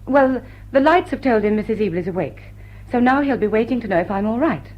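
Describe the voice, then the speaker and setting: A cool, flirtatious society lady thief in a period radio drama. Her voice sits high and swoops with lively intonation. The delivery is arch and poised with dry wit, and the audio is slightly dull. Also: fairly quick. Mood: amused, flirtatious. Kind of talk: classic stage comedy radio drama acting.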